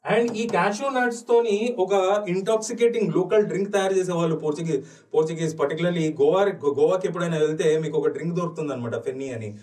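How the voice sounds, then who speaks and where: A man from India with a Telugu accent lecturing as an exam coaching teacher, switching between Telugu and slow, deliberate English. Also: a little high, a little mellow. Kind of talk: lecture walking through exam questions. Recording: echoey room, distant mic.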